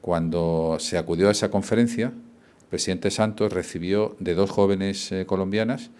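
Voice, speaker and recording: A man's voice: low voice, steady tone, moderate pace, a little mellow. A middle-aged man giving a rambling speech at an event, with many fillers and restarts. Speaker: law firm partner giving a speech.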